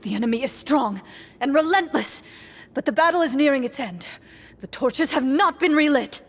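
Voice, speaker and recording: A woman voicing a composed game master in an anime-style game, narrating in a measured, formal, dramatic way. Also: high voice, expressive, slight background noise. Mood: dramatic, intense.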